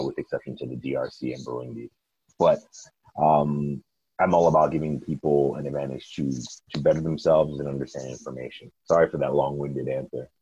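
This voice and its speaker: A man who is a podcast guest, answering in a measured way with pauses and "uh" fillers. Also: a bass voice, a little mellow, no echo. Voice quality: gravelly, husky. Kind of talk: conversational interview answer. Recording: dull, lo-fi, dry sound.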